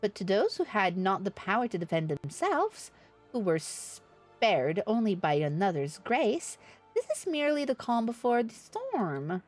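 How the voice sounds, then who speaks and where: A woman voicing a grave, thoughtful counsellor in a fantasy game, speaking in a measured, formal and solemn way. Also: mid-range voice, sing-song, a little mellow, faint music behind. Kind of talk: reading fantasy game dialogue aloud.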